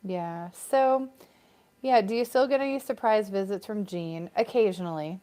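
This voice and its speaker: A woman's voice: mid-range voice, moderate pace, warm tone. An American woman co-hosting a casual live stream, chatting upbeat and reading out viewer comments.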